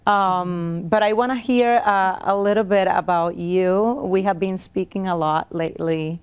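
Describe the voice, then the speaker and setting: A woman's voice: mid-range voice, steady tone, a little slow, smooth. A woman from Puerto Rico hosting a conversational public health interview. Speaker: substitute host, public health worker.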